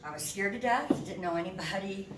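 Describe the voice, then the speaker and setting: A candid, animated woman in her fifties tells her own story from a stage, her voice sitting in a middle register. She sounds far from the mic, with a roomy echo and steady background noise. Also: medium pitch, brisk. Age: 50s. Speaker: guest speaker. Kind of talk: personal testimony speech. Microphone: room mic at a distance. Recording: echoey hall from far off, noisy.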